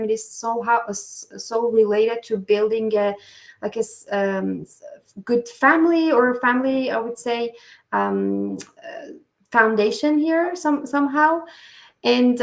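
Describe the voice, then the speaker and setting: A woman hosting a podcast interview, speaking in halting phrases full of fillers. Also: on the high side. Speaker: podcast host. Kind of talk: interview conversation. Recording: echoey room.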